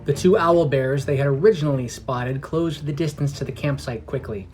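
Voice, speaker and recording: Storyteller narrating fantasy over soft guitar music. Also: mid-range voice, normal speed. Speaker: podcast narrator. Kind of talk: scripted fantasy story narration with acted dialogue.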